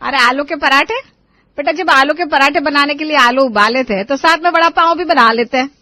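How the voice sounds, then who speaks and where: एक महिला कार्टून कहानी में टोकने और हुक्म चलाने वाली बुज़ुर्ग कंजूस सास का किरदार निभा रही है।. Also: female voice, high voice, moderate pace, bright, forceful tone, no room sound. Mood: demanding. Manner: bossy, complaining. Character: nagging, demanding, stingy older mother-in-law. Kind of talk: Hindi animated family story acting.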